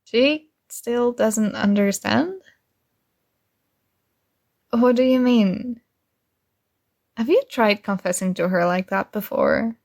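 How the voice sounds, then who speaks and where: Slow-paced, oblivious sweet female friend, acted by a woman. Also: a little high, vocal fry, darkish tone. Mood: casual, encouraging. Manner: casual, hesitant, earnest delivery. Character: oblivious, kind, earnest female friend and secret crush. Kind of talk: ASMR roleplay, intimate one-sided dialogue.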